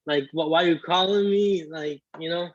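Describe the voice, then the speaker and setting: A man in his twenties, born in Iraq with Arabic as his first language, telling his story as a guest in a casual interview, halting with many restarts. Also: fairly high pitch, steady tone, no room sound, lo-fi sound. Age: 20s. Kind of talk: casual video-call interview.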